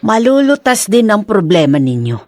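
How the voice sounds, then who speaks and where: A woman playing a caring middle-aged mother in a radio drama, expressive and consoling. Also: medium pitch, normal speed, dry recording, clean studio sound.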